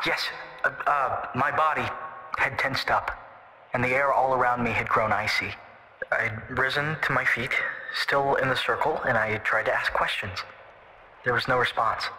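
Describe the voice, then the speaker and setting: An actor playing a male radio guest telling a ghost story in a measured storytelling way. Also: mid-range voice, bright, forceful tone, low-quality recording. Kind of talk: audio drama storytelling.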